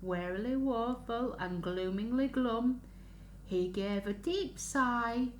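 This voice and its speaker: A woman reading a picture book aloud to young children in an expressive, sing-song way, stopping to ask them questions. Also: a little high, slow pace. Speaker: children's story reader. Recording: roomy echo, faint background noise.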